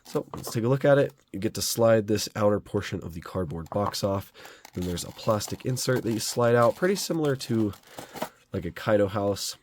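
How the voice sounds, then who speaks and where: A young man with a slightly raspy voice from a cold, casually reviewing diecast model cars in a relaxed, rambling way. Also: on the low side, normal speed, a little hiss or hum. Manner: relaxed, chatty, rambling with fillers.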